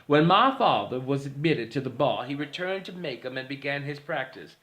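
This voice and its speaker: Male reader for literary audiobook narration, mid-range pitch, reading scripted prose aloud. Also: animated, normal speed, fairly bright tone.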